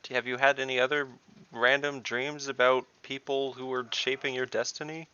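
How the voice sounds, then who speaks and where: A man's voice: medium pitch, flat delivery, moderate pace, bright, forceful tone, clear, resonant tone, lo-fi sound. A man chatting casually as a player in an online tabletop game, with sardonic, sweary banter.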